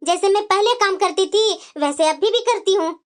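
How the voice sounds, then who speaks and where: Woman voicing a shrill, nagging cartoon mother-in-law. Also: moderate pace. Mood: grumbling, aggrieved. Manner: scolding, complaining, dramatic. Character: nagging, grumbling middle-aged Indian mother-in-law, bitter and domineering. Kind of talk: Hindi family cartoon dialogue.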